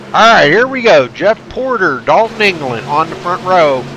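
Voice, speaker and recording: Male race caller, stuffed-up nose, higher-pitched, folksy and excitable, noisy broadcast feed. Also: moderate pace. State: stuffed up from allergies. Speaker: race commentator. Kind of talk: live race play-by-play commentary.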